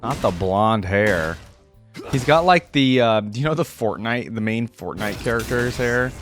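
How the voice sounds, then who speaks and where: A man with a lowish voice that moves up and down in a lively way. He comments casually as a reaction video host, thinking aloud in slang, with music playing behind him. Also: measured pace. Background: music and video game sounds.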